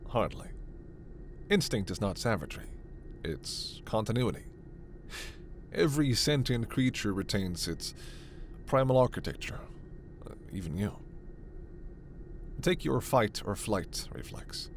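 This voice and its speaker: A man playing a gentle, scholarly, protective alien doctor in a sci-fi ASMR roleplay, speaking in a measured, formal, precise way. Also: fairly low pitch, animated, a little hiss or hum. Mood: explanatory, earnest.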